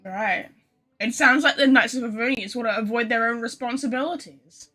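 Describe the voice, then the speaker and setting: Woman game streamer, high and bright, informal, sweary, self-deprecating live chat. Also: moderate pace, faint music behind. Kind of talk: casual live gameplay chat, reading some lines aloud. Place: bedroom.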